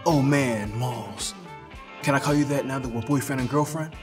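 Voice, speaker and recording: A dim, laid-back teenage boyfriend, played by a man, slangy and smitten, halting over his words. Faint music plays under this radio drama. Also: mid-range voice, close to an everyday voice. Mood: eager, awkward. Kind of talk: radio play acting.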